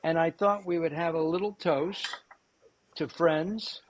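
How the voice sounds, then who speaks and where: Slow, rambling male cooking host. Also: a little high, steady tone, a little hiss or hum. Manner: rambling, many fillers and restarts. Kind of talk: casual cooking show talk.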